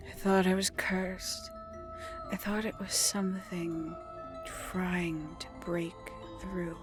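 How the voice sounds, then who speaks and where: An actress playing an enigmatic, brooding woman in a video game, telling her story in a measured, theatrical way with dramatic pauses. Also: fairly low pitch. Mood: haunted, hushed. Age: adult, older than nineteen. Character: enigmatic, brooding woman recounting a secret family past. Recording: background music under the dialogue.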